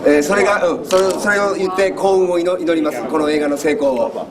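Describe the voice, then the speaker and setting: Fast-talking man interpreting. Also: high-pitched, crowd noise. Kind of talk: interpreting for a crew. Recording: noisy set, heard from a distance.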